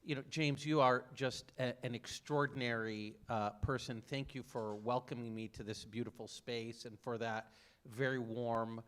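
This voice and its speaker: A man's voice: medium pitch, fairly even intonation, normal speed, fairly bright tone, clean tone. A middle-aged man, an academic guest, speaking in a stage conversation, self-deprecating and full of fillers and restarts. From United States, raised in Saudi Arabia. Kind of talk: conversation before an audience.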